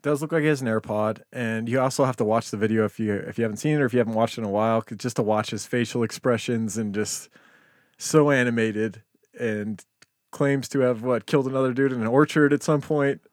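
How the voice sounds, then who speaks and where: A man's voice: medium pitch, fairly even intonation, brisk, dry recording, clean studio sound. A man hosting a casual true-crime podcast, talking conversationally with some swearing. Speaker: podcast host. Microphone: close podcast microphone.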